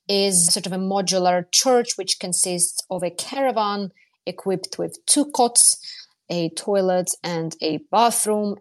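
Female correspondent, lower-set voice, conversational news reporting.

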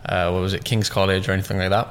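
Rambling young man, slightly low voice. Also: little pitch movement, racing, slight background noise. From born in Russia, raised in southeast London. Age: 20s. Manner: loose and rambling, many fillers and "like"s. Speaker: podcast guest, business owner. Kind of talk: casual interview chat.

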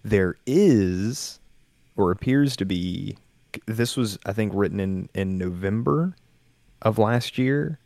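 Low gravelly monotone man. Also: slow, dry recording. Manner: monotone, laid-back delivery. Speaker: podcast co-host and story narrator. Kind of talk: casual chat.

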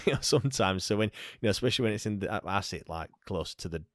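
A man hosting a live call-in chat, relaxed and hesitant, with lots of fillers. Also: lowish voice, fast talker, no echo. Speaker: host.

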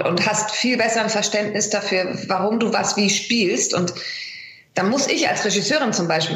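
A woman's voice: mid-range voice, fairly bright tone, echoey room. A woman speaking as an interview guest, explaining things conversationally with examples over an internet call. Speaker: interview guest, voice actress and dubbing director. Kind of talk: casual interview chat. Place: remote internet call, echoey room.

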